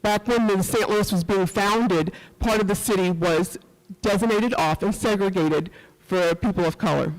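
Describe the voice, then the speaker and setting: A woman's voice: medium pitch, moderate pace. The sound is a large hall with long reverb. A middle-aged woman from rural Missouri, with that region's accent, speaks to a summit in a personal, earnest way. Her delivery halts at times and leans on repeated phrases. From rural Missouri, USA. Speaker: panel speaker, former police officer and professor. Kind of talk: personal public talk at a summit. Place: event hall at a community center.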